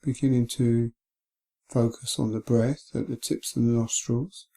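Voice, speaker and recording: Male guided-meditation voice, soft-edged and relaxed, calm and unhurried, flat melody. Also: mid-range voice, creaky. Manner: gentle, calm, unhurried with long pauses. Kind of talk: guided body scan meditation.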